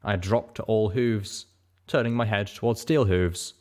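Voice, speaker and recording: A man's voice: fairly low pitch, unhurried, a little mellow. A man narrating a first-person fantasy story as a dramatic audiobook reader.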